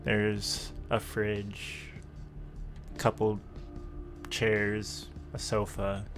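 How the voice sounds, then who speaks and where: A man with a fairly low voice narrates a tabletop game. He speaks very slowly and haltingly, in short phrases, over faint music. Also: monotone, a bit bright. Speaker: game master.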